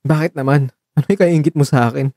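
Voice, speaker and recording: A man playing a caring, gently teasing young man in love in a romantic radio drama, speaking in an expressive, coaxing way. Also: a little high, fast, no echo, clean studio sound. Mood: affectionate, earnest.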